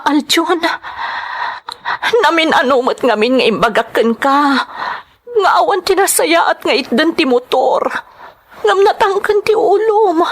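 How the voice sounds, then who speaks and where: A woman's voice: very high-pitched, fairly bright tone, exaggerated voice. A woman acting a burdened young wife and mother in an Ilocano melodrama, earnest and sorrowful, with an Ilocano accent. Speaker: drama lead and narrator.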